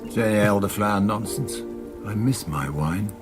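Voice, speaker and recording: An actor playing a genial, hopeful, courteous doctor in a period radio drama, in a gently musing manner. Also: male voice, on the low side, normal speed, over music, close to an everyday voice.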